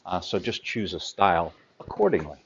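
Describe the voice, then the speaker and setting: A man's voice: deep voice, very animated, normal speed, creaky, husky, highs cut off. Middle-aged man explaining gear with ums. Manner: practical, hands-on, many ums and uhs while handling gear. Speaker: sailing gear expert. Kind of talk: product explainer.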